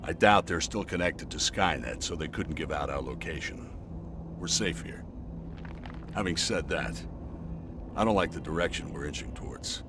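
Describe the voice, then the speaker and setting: A man's voice: very deep voice, moderate pace, long pauses, background noise. A man voicing a brooding, terse, matter-of-fact resistance veteran in a video game. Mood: guarded.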